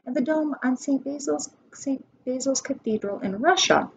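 A woman's voice: high voice, normal speed, vocal fry. An American woman in her forties reading a children's nonfiction book aloud as a preschool teacher, instructive, with asides to her listeners. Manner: instructive, asks listeners questions. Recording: dull lo-fi webcam sound, background noise.

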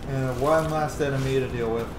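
A man's voice: medium pitch, steady tone, normal speed, background noise. A man in his thirties giving casual let's play commentary on a video game. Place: small room indoors. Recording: roomy sound with game audio behind. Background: game music.

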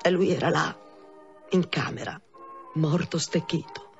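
A hard, blunt madam of a brothel in a radio play. She speaks with intensity in a low voice. The sound is muffled, over faint music. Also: measured pace, gravelly. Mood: broken, confessing. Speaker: actress in a radio drama. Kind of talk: dramatic radio acting.

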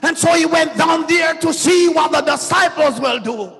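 A man preaching a fervent sermon, shouting and sliding into a half-sung cadence. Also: very high voice, normal speed. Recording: long hall reverb with faint music.

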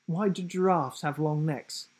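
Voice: medium pitch, measured pace, a little mellow, slightly rough, voice pushed up. A storyteller voicing a goofy, joking male giraffe who teases cheerfully in a storybook reading. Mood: playful. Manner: cheerful, teasing, playful. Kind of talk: storybook character voice.

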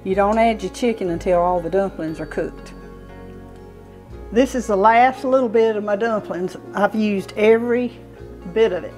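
A woman's voice: medium pitch, normal speed, warm tone, faint music behind. A woman in her sixties with a Southern US accent, giving an informal, folksy cooking demonstration as she works in a home kitchen. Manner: folksy, explaining step by step while working. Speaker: home cooking show host. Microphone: clip-on lapel mic.

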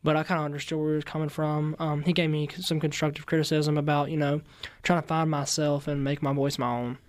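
A teenage boy with a raspy voice, answering interview questions politely with many fillers in a radio studio. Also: on the high side, flat delivery, quick pace, dry recording. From Mississippi-Louisiana border. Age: teens. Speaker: interview guest, singer. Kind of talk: casual interview. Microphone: studio mic with headphones. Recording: dry close studio sound.

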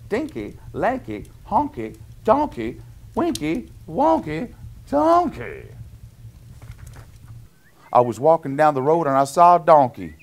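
A man's voice: on the high side, a little mellow, exaggerated voice. A middle-aged man playing a jolly Canadian lumberjack, reading a picture book to children with playful, exaggerated sing-song rhythms and chuckly asides. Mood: playful, folksy. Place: small indoor cabin-style set.

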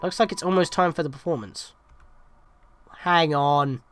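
A man's voice: on the high side, a little slow, a bit bright, a little hiss or hum. A young man hosting a let's play with casual, wry, conversational game commentary.

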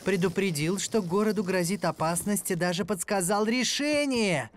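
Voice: medium pitch, moderate pace, music in the background, natural voice. An actor voicing a villain's bumbling son who whines and pleads, in a cartoon dub. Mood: sulky. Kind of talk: Russian cartoon dubbing.

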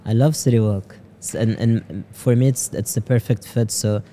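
A man in his thirties from Lebanon, a startup CEO answering interview questions in a halting way, often repeating words, on a boom-arm studio mic in an office lounge. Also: fairly even intonation, warm tone, slight background noise. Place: office lounge podcast set.

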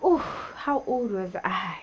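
A woman's voice: medium pitch, lively intonation, takes it slowly, a little hiss or hum, poor audio. A young woman from Nigeria, a dancer being interviewed, chatting casually and laughing often on a studio set. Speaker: guest, dancer.

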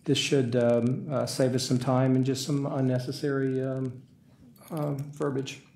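Older american guy running a council meeting, pretty flat delivery, echoey chamber. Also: mid-range voice, a little slow, gravelly, slight background noise. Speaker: council president chairing a meeting. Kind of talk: formal meeting procedure. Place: council meeting room, indoors.

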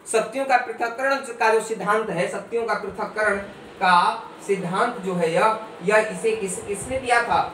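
A young male teacher works through an exam-prep lesson at a whiteboard, drilling each point with repeated rhetorical questions. His voice sits at an ordinary pitch and comes through an echoey small room. He is picked up by a camera mic a few steps away, with faint room noise behind him. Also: normal speed, pushed and bright, recorded from a distance. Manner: calls viewers dear friends.

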